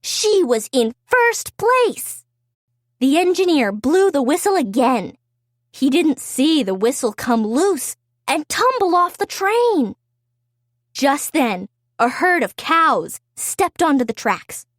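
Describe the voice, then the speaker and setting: A woman reading a story aloud for young children in an expressive, deliberate way. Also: high voice, dry recording, clean studio sound.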